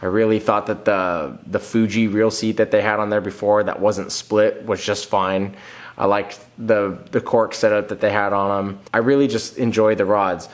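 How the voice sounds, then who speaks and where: Casting: thirties male fishing vlogger, a bit low, nasal with a cold, plain-spoken, talks to camera from home. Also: fairly even intonation, moderate pace. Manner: plain-spoken, restarts often, reads comments aloud. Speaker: fishing channel host. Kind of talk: casual vlog answering viewer comments. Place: home room, indoors.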